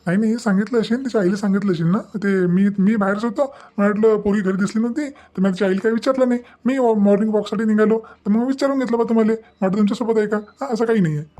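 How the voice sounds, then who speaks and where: Fast-talking, fretful village father acted by a man. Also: sits high, warm tone, lightly acted. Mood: evasive. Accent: rural Maharashtrian. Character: worried village father covering up a scandal. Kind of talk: Marathi comedy cartoon dialogue.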